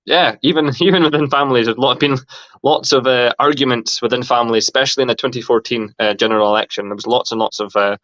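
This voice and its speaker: A man's voice: mid-range voice, steady tone, a bit bright, dry recording. Man with a light northern Scottish accent. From northern Scotland. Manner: halting, many fillers and restarts. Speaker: host. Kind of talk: casual livestream chat. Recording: dull, muffled livestream audio.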